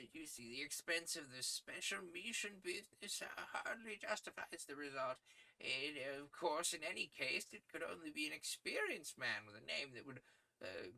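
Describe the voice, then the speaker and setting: A man voicing a kindly, fussy old male news editor who talks slowly and hesitantly with tuts. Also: on the high side, creaky, sharp tone, voice pushed up, heavily acted, rough recording. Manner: slow, hesitant, tutting.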